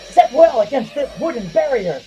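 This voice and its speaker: A grandiose drunken tavern adventurer from a comic fantasy audio play. His delivery swings widely in pitch, with a warm, rounded tone. He declaims in florid archaic phrases over light music. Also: sing-song, moderate pace, poor audio. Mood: frantic. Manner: declamatory, flowery archaic diction.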